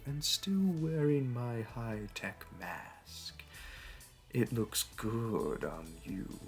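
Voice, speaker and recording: A man playing a smug, flirty supervillain in an audio roleplay, talking in a teasing, theatrical, unhurried way. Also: mid-range voice. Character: smug, flirty male supervillain hosting a hero in his lair. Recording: music behind. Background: background music.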